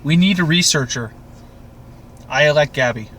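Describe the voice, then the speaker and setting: Guy podcast host, kinda high bright voice, swears and jokes a lot, bit of background noise. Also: moderate pace. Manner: crude, profane, animated joking. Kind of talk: casual chat, TV show recap.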